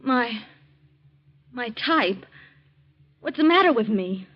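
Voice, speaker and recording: A woman's voice: fairly high pitch, animated, slow pace. A woman playing a proud, defiant young woman in a 1940s radio drama, speaking firmly and indignantly. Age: young adult. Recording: dull lo-fi old radio recording.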